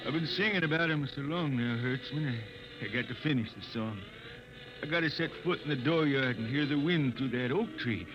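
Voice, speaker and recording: A man's voice: medium pitch, fairly quick, lightly acted. An actor playing a blind old spaceman singer. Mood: wistful. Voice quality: gentle, drawling and folksy. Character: aging blind wandering spaceman balladeer. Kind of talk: 1950s radio drama acting. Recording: muffled old radio broadcast with hiss.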